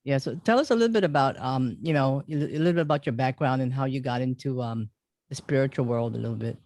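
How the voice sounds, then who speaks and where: Halting, filler-heavy male host. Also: mid-range voice, darkish tone, dry recording. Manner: halting, full of fillers and restarts. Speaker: interview show host. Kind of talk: casual interview hosting.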